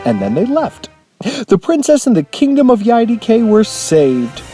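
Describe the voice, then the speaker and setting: A man acts out an earnest, awkward storyteller in a comedy storybook segment. The voice sits very high and is mellow, with extremely animated swings. The reading is sing-song and simple. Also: a little slow, faint music behind, heavily acted. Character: earnest, awkward male amateur children's storyteller. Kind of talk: parody storybook narration.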